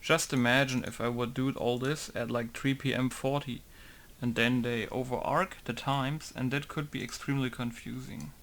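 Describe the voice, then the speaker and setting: A man modding a game, chatting casually and rambling to himself while he works, with quiet mutters between his remarks. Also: mid-range voice, steady tone, moderate pace, slight background noise. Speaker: game modder. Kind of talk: casual talk while working.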